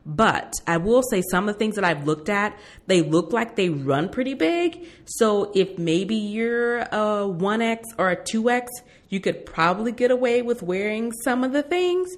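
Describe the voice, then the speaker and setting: A woman's voice: mid-range voice, expressive, unhurried, hardly any pauses. A woman co-hosting a podcast, chatting informally and acting out what people said as she tells things. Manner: informal, acts out quoted remarks. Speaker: podcast co-host. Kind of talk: casual conversational storytelling and opinion.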